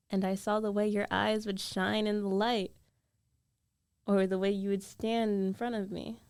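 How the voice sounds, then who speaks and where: A woman playing a jealous, lovestruck young female roommate in an intimate ASMR roleplay, guarded yet tender, speaking with hesitant, emotional pauses. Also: mid-range voice, a little mellow, clean tone, dry recording, studio quality. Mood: tender confession.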